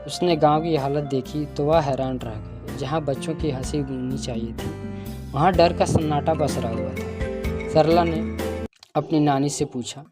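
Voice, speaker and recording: A man's voice: fairly high pitch, measured pace, loud music behind the voice. A man narrating a scripted cartoon folk tale as storyteller.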